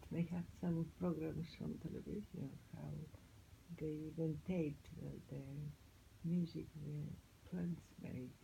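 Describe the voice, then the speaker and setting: A person chatting casually as a conversation partner, in halting, simply phrased remarks. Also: medium pitch, level tone, vocal fry, dark tone, lo-fi sound. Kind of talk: casual chat. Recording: home recording with faint background noise.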